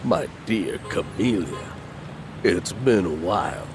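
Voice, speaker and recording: A man acting in an audio drama as a gentle, wise older family friend with a measured, folksy manner. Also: sits low, expressive, noisy background. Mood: familiar.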